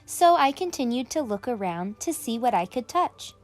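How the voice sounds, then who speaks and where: Woman, children's storytime host, higher-pitched, very lively intonation, expressive read-aloud, music underneath. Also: normal speed. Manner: animated, addressing young children directly.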